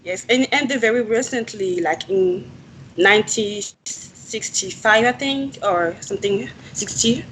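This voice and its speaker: A woman's voice: mid-range voice, a little slow, fairly bright tone, poor audio. A young woman from Ivory Coast whose first language is French, a student guest speaking hesitantly with many ums. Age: young adult. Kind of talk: casual interview.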